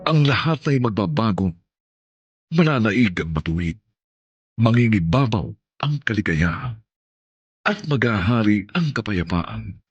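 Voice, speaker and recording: A man with a lowish voice delivers solemn, poetic radio drama narration. He is theatrical, with sweeping intonation and a slow pace, over music. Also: lively intonation, breathy edge, lo-fi sound. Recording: faint music bed under voice.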